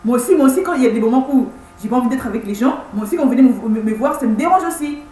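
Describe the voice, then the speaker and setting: Woman, 30s, higher voice, stumbling and repeating herself, pleading tone, echoey room with faint hum. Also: lively intonation, mellow. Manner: halting, many repeated words and restarts; insistent, pleading. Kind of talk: personal video message.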